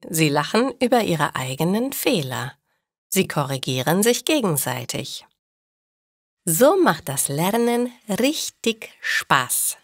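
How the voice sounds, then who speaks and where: A woman narrating a story for language learners in a slow, clear reading. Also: on the low side, very animated, no room sound, studio quality.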